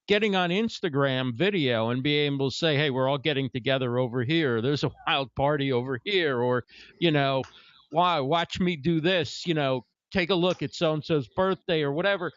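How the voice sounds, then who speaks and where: Man, host, fairly high voice, agreeable, dry close mic, slightly muffled. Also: fairly bright tone, dry recording. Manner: affirming, quick to agree, ends points with "right?". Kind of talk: casual interview hosting. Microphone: studio microphone with headphones.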